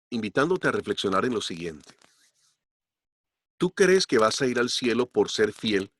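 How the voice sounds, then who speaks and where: A man preaching a sermon as pastor, slowing down and pushing up his voice to stress key points. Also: mid-range voice, slight background noise. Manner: emphatic, slows down to stress points. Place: church.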